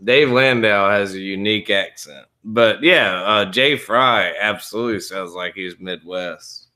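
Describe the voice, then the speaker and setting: Slow, bright-voiced man from Alabama. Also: lowish voice. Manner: blunt, swears freely. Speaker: livestream host. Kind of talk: casual chat on a livestream.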